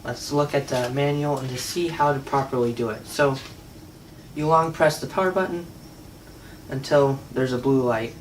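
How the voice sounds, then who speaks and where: A man's voice: medium pitch, fairly even intonation, moderate pace, slight background noise. A man giving a casual, unscripted product demo in a hesitant, conversational way. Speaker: product reviewer. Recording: distant mic, faint music at times.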